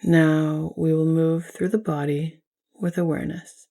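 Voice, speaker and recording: A woman guides a restful meditation in a gentle, soothing manner. Her voice sits low and mellow, with plenty of soft vocal fry. She gives each instruction calmly, like a teacher of yoga nidra. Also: measured pace.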